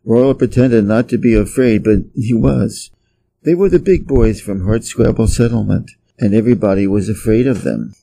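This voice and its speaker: Dark-voiced American man reading a storybook. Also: fairly low pitch, normal speed, gravelly, rough recording. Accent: American Midwest. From Wisconsin, USA. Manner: careful, evenly paced read-aloud. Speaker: audiobook reader for English learners. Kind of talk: slow clear storybook reading.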